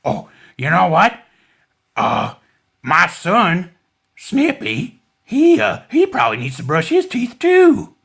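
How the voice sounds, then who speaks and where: A man's voice: high-pitched, wildly swooping pitch, takes it slowly, vocal fry, a bit bright, husky, pitched above the performer's own voice, exaggerated voice. A man voicing a friendly, folksy crocodile dad in a children's puppet show, talking in a simple, hesitant, amiable way. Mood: eager, polite.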